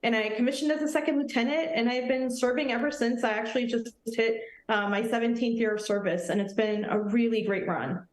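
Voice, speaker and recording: A woman's voice: a little high, fairly even intonation, a little fast, few pauses, echoey room. A woman in her thirties from Nepal, an Army officer answering questions in an earnest, friendly way on a morning TV show. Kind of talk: morning TV interview.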